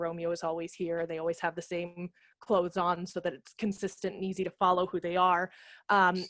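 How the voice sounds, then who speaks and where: A woman in her thirties explaining things in a casual, animated, conversational way as a guest on a video call, speaking into a headset. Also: medium pitch, dry recording, studio quality. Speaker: guest, theatre managing director. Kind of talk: casual explanatory talk in an online session. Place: brick-walled room at home, indoors. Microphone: headset microphone on a video call.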